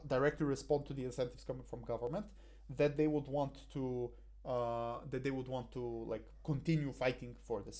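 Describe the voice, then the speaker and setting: A man's voice: medium pitch, mellow. A young man, talking through a debate motion in a hesitant way full of fillers and restarts, on a headset in a small room. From Bulgaria. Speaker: debate coach. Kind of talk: explaining a debate motion over slides.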